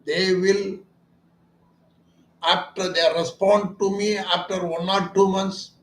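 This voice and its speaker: A man speaks as a doctor, slow and deliberate, with a fairly high voice for a man. He is earnest and emphatic, stressing his points and turning them into questions, and the room around him sounds echoey. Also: little pitch movement, a little hiss or hum. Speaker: ENT doctor treating voice disorders. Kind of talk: explanatory talk about a clinic's treatment.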